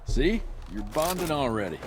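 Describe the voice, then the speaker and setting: An actor playing a dry, impatient monster hunter in a game cutscene, speaking tersely. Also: male voice, mid-range voice, big pitch swings, normal speed, natural voice. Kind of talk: video game cutscene acting. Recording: long hall reverb, music behind.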